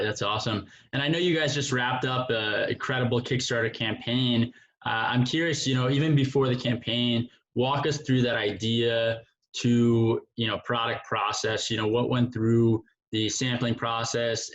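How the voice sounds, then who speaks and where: A man hosts a casual interview with an average male pitch. He is informal and affirming. The audio is slightly dull and lo-fi. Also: fairly even intonation, moderate pace, fairly bright tone. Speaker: interview host.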